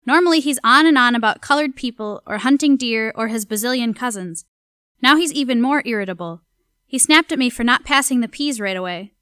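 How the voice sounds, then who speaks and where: Actress as young female servant, audio drama diary, crisp clear tone, dry close sound, plain confiding delivery. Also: a little high, brisk, fairly bright tone, dry recording, close to an everyday voice. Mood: confiding, puzzled. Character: observant young female household servant keeping a journal. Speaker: voice actor. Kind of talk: audio drama journal narration.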